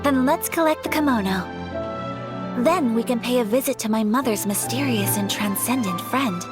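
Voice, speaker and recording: A woman's voice: on the high side, moderate pace, over loud music, lightly acted. A woman voicing a graceful, polite young noblewoman in a game, speaking formally and courteously. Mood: gentle.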